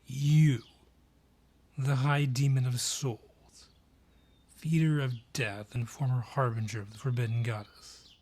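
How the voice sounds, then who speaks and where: Man playing a slow-talking, mocking haughty dryad. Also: medium pitch, steady tone, a little mellow. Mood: incredulous, amused. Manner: sardonic, theatrical, drawn-out taunting. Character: mocking, haughty male dryad holding an old grudge. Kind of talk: scripted audio roleplay acting.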